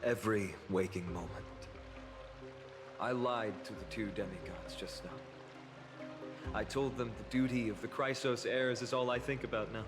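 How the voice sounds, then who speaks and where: An actor as a wistful, low-voiced young hero in reverb. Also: male voice, fairly even intonation, moderate pace, natural voice. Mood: troubled, confiding. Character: earnest young male fantasy hero, wistful and self-doubting. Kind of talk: video game voice acting, dramatic dialogue. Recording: long reverb, music behind.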